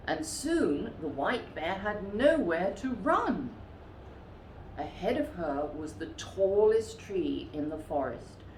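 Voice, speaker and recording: A woman reads a children's story with theatrical emphasis. Her voice is fairly low and very animated, paced unhurriedly, in a room with audible echo. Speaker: storytime reader. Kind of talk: expressive children's story reading. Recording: roomy echo with faint background noise.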